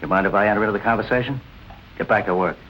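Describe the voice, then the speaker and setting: An actor playing a cool, commanding nightclub boss with a clipped, sardonic manner in 1940s film noir style. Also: male voice, lowish voice, very fast, highs cut off, natural voice. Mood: curt, commanding. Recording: noisy old film soundtrack.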